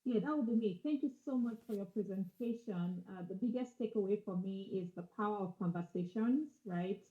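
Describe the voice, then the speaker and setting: A woman's voice: mid-range voice, fairly quick. A woman from Nigeria politely asking a question on a video call. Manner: polite, warmly appreciative. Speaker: audience member asking a question. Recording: dull video call audio.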